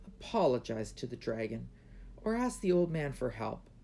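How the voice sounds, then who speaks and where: A man as an earnest, high-voiced young princess. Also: animated, a little slow, creaky, a little mellow, slight background noise. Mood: pleading. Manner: earnest, pleading, determined.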